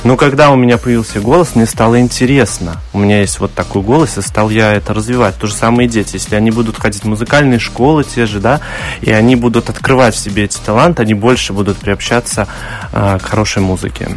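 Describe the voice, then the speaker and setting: A man with a fairly low baritone voice speaks as an interview guest. He explains thoughtfully and conversationally, adding qualifiers as he goes, with faint music behind. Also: quick pace. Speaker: interview guest, classical singer.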